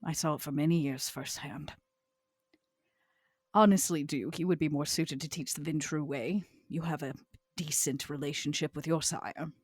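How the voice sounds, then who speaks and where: A woman's voice: low-pitched, fairly even intonation, normal speed, slightly rough, no echo. A woman playing a proud, scornful old vampire in a tabletop roleplay, with haughty, theatrical, formal phrasing. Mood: cool, firm. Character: proud, scornful old female vampire named Vera, elegant and ambitious.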